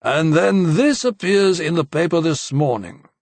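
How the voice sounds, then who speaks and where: Man putting on a pompous, testy university boss, pitched up. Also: lively intonation, unhurried, a little mellow, no echo. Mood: reproachful. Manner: pompous, scolding. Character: fussy, pompous male university president, testy and scolding. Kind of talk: audiobook character acting.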